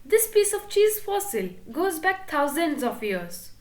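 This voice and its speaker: A woman voicing an excitable young boy mouse who squeaks eagerly at his uncle. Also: a little slow, echoey room.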